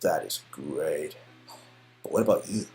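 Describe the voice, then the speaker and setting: A man's voice: a bass voice, animated, measured pace, vocal fry, darkish tone, pitched below the performer's own voice, exaggerated voice. A man playing an awkward lover in a romance game, nervous, jokey and rambling. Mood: flustered, playful.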